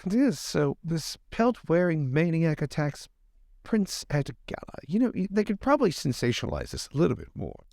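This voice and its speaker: A man's voice: on the high side, huge pitch swings, normal speed, gravelly, a little mellow, no room sound, clean studio sound, exaggerated voice. A man improvising a polite, hesitant, faintly peevish lord in a tabletop roleplay. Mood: mildly put out. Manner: polite, hesitant, passive-aggressive. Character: mild young lord, politely peevish, easily pleased.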